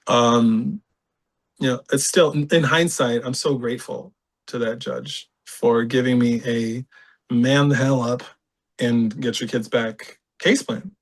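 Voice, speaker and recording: Animated man telling a candid story. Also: mid-range voice, measured pace. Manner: animated, laughs often, reenacts voices. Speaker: podcast guest.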